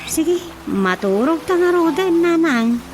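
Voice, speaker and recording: A chatty woman of the household in a radio comedy drama. Her voice is pitched high, with music clearly playing behind it. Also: expressive, takes it slowly, heavily acted.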